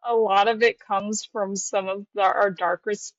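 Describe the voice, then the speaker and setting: A woman's voice: medium pitch, little pitch movement, a little slow, no room sound. An American woman from Texas giving a recorded viewer opinion, hesitantly searching for words, in a slightly lo-fi clip. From Houston, Texas, United States. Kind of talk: recorded vox pop answer. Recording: dry, slightly dull lo-fi clip.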